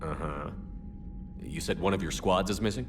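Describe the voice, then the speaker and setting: Man as a low-voiced, steady military commander. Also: normal speed, background noise, natural voice. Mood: dry, concerned. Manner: terse, controlled, authoritative. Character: steady, no-nonsense male human military commander. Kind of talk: video game character acting.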